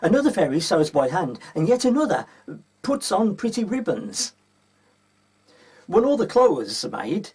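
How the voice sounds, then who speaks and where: A man with a husky voice reading a children's story aloud as a storyteller. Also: a little high, darkish tone.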